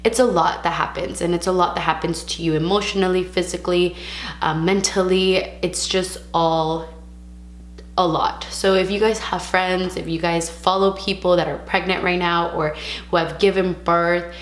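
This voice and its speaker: A young woman from California vlogging casually to camera, frank and chatty, mixing in Spanish words, her voice a little raspy from a sore throat. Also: lowish voice, steady tone, normal speed, roomy sound. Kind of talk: casual vlog talk to camera.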